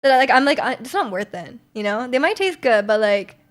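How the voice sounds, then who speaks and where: A woman's voice: fairly high pitch, expressive, fairly quick. A young woman streamer in casual chat, rambling through viewer comments with lots of fillers and restarts. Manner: rambling, many fillers and restarts, frequent laughter.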